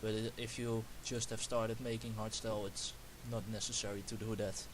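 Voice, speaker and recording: A young man with a Dutch accent, Dutch his first language, explaining music production step by step in a halting, self-correcting way. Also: fairly low pitch, flat delivery. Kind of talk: screen-recorded production tutorial. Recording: hissy home recording.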